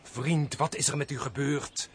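An actor playing an earnest army major and loyal old comrade, speaking formally and emotively in a radio drama. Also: male voice, medium pitch, steady tone, a little slow, a little hiss or hum, lightly acted. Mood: dismayed, pleading.

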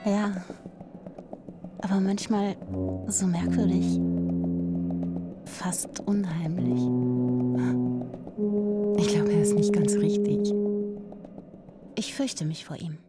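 A woman's voice: mid-range voice, steady tone, takes it slowly, pauses often, close to an everyday voice. A woman playing an uneasy, wondering woman who confides hesitantly in a radio drama. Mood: uneasy, hushed. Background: music.

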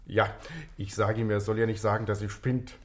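An actor playing a casual, wry police subordinate in a German radio drama. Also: male voice, on the low side, little pitch movement, brisk, close to an everyday voice.

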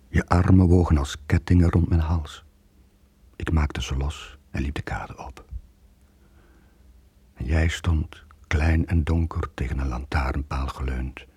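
An actor playing a brooding, guilt-ridden sailor in a radio drama from the 1980s, confessing in a deep, husky voice. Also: male voice, steady tone, takes it slowly, long pauses, warm tone, no echo, exaggerated voice. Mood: melancholy. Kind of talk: 1980s radio drama, intimate narrating monologue.

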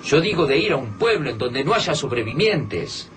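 An actor playing a hard-headed, pragmatic survivor in a radio drama, insistent, with an Argentine accent. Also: male voice, mid-range voice, sing-song, moderate pace, fairly bright tone. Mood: warning. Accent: Argentine, Rioplatense. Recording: radio drama with noisy background.

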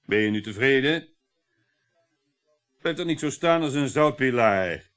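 A man's voice: mid-range voice, animated, unhurried, fairly bright tone, smooth. A man acting a domineering, middle-aged husband in a Dutch radio play, talkative and sarcastic, needling with sardonic chuckles. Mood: impatient, mocking.

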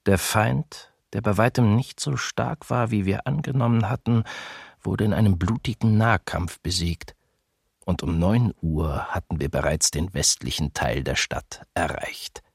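An actor playing a German soldier recounting the capture of a city in a documentary radio drama. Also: male voice, sits low, measured pace, creaky, husky, no room sound, studio quality, full character voice. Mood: sober report.

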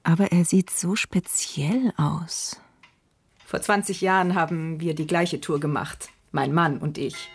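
A woman's voice: sits low, steady tone, unhurried, husky, full character voice. An actress playing a gracious, inquisitive society hostess and mother past middle age in a literary radio drama. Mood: wry, musing.

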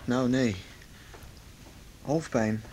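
A man's voice: medium pitch, steady tone, extremely slow, darkish tone, slight background noise, low-quality recording. A young man acting a withdrawn, confused teenage boy in a radio drama, answering tersely and reluctantly. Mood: subdued, evasive.

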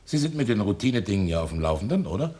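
An actor playing a brisk, unsentimental company partner in a German radio crime comedy. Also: male voice, fairly low pitch, sing-song, warm tone. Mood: businesslike. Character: brusque, matter-of-fact company partner, coolly dismissive.

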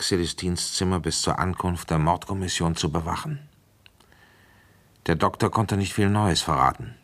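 Casting: brooding inspector-narrator for radio crime drama, very deep voice, measured and reflective. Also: male voice, fairly bright tone, full character voice. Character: brooding male police inspector recounting a case. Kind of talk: first-person scripted narration.

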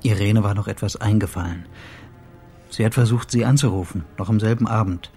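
An actor playing a sober, bereaved husband in a radio play, speaking in a subdued, matter-of-fact and slightly halting way. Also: male voice, on the low side, mellow, faint music behind, close to an everyday voice. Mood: sober, informative. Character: bereaved husband, a sober man reporting his wife's death.

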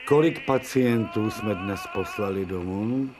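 An actor playing an irritable, commanding elderly surgeon-professor in a radio play. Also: male voice, fairly low pitch, music in the background, close to an everyday voice. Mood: weary. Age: older. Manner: authoritative, commanding, sardonic.

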